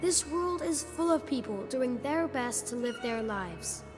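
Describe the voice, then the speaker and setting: A plucky young boy hero in a fantasy game. The voice is high and boyish, earnest and plain-spoken. Loud music plays behind. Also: expressive, unhurried. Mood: earnest, resolute.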